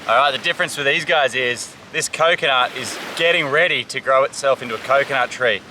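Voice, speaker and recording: Young male survival vlogger from Samoa, upbeat explainer, bright tone, outdoor beach with faint surf. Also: medium pitch, a little fast, a little hiss or hum, low-quality recording. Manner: upbeat, enthusiastic tour-guide talk. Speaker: survival vlog host. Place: outdoors on a tropical island beach.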